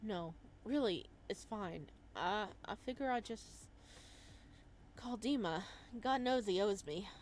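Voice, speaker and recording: A woman's voice: medium pitch, lively intonation, slow pace, a little hiss or hum. A woman voicing an awkward, reluctant young man, the hero of a visual novel, in a hesitant, casual manner.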